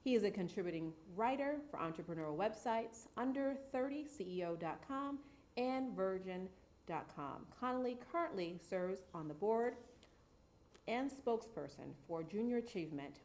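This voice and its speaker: A woman's voice: medium pitch, lively intonation, normal speed, darkish tone. A woman hosting a talk show from a TV studio, reading formal guest introductions and asking questions with encouraging murmurs.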